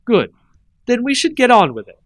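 A man reading aloud and voicing a sharp-tongued, sarcastic woman necromancer in a raised voice. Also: extremely high pitch, huge pitch swings, normal speed, no room sound, studio quality, heavily acted. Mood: scornful. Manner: snappish, sarcastic.

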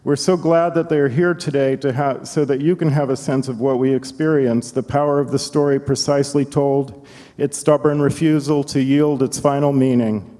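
A man introducing a panel in a measured, eloquent, formal manner. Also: mid-range voice, steady tone, mellow. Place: conference hall. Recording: echoey hall.

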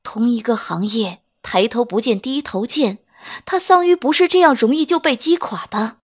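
A woman's voice: fairly high pitch, moderate pace, breathy edge. A woman reading a romance novel as a dramatic audiobook narrator.

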